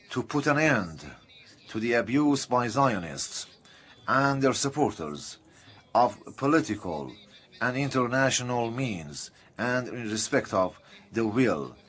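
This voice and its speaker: A man interpreting a political speech live, speaking in halting, broken phrases with uneven bursts. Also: medium pitch, fairly bright tone, breathy edge.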